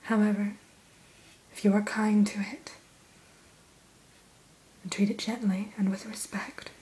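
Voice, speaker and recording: A woman whispering softly as a dragon hatchery keeper in an ASMR roleplay, gentle and a little formal, close to the mic. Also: mid-range voice, flat delivery, poor audio. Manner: soft whisper throughout; gentle, unhurried, mildly formal.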